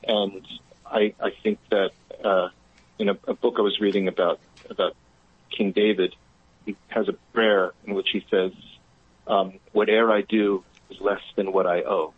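A man calling in to a radio show by phone, talking in a thoughtful, halting, conversational way. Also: fairly low pitch, level tone, slightly rough, no echo. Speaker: phone-in caller. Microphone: telephone. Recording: muffled, narrow phone line.

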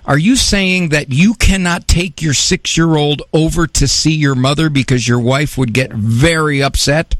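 Man, radio host, blunt emphatic advice, slightly high voice, dry studio sound. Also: measured pace, hardly any pauses, a bit bright, dry recording.

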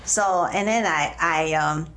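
Brief: middle-aged woman from Taiwan, low-pitched, hesitant interview guest, faint background hiss. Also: fairly bright tone. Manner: hesitant, many uh and um pauses. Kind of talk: faith-sharing interview.

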